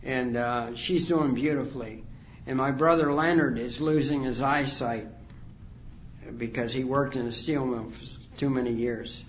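A man in his sixties speaks at a conference about his own recovery, wandering through his story with plenty of pauses and fillers. His pitch sits in an ordinary middle range. The recording sounds dull and lo-fi, with a faint hum of ambience behind him. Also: fairly even intonation. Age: 60s. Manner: rambling, halting, many fillers. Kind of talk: personal testimony talk. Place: conference hall.

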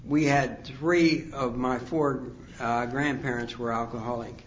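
A man in his sixties speaks at a conference about his own recovery, wandering through his story with plenty of pauses and fillers. His pitch sits in an ordinary middle range. The recording sounds dull and lo-fi, with a faint hum of ambience behind him. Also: fairly even intonation. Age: 60s. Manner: rambling, halting, many fillers. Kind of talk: personal testimony talk. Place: conference hall.

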